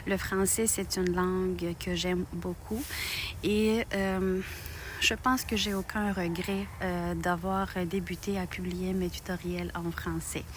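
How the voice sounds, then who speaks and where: A middle-aged woman from Moldova, speaking French with a Romanian accent, talks to her channel's viewers in a hesitant way, with many pauses and restarts. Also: fairly low pitch, steady tone, slight background noise. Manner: halting, many fillers and restarts. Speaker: knitting channel host. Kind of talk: personal thank-you video.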